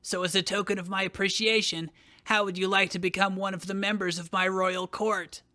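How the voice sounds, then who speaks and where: Man acting a bright, scornful, high-voiced young hero. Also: level tone, fairly quick. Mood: haughty. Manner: scornful, declamatory. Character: proud, bitter, vengeful male hero. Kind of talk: dramatic fan fiction reading.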